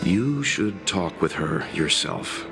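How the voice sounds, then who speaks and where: A man's voice: low voice, sing-song, takes it slowly, vocal fry, heavily acted. A man playing a thoughtful, reserved traveller in a video game, with a polite, formal manner. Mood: thoughtful, careful. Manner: formal, considerate. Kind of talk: scripted video game dialogue. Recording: music behind the voice.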